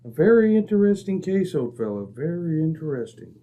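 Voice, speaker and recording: An actor playing a composed, incisive British gentleman detective in an old-time radio mystery, speaking briskly and precisely. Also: on the high side, big pitch swings. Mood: calm, wry. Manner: brisk, precise, self-assured.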